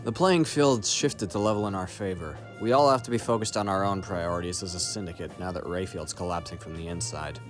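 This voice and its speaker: A man's voice: low voice, fairly quick, few pauses, faint music behind, close to an everyday voice. A man playing a guarded, battle-hardened syndicate operative in an audio roleplay, speaking in a dry, clipped, matter-of-fact way. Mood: grave, resolute. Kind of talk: dramatic audio roleplay acting.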